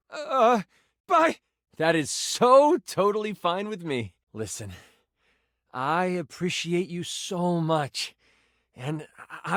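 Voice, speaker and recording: A man's voice: high-pitched, a bit bright, no room sound, clean studio sound, heavily acted. An actor voicing a personified gaming die as a nerdy, earnest, awkward man who stammers and gets theatrical, in video game style. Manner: hesitant, stammering, effusive, theatrical gamer talk.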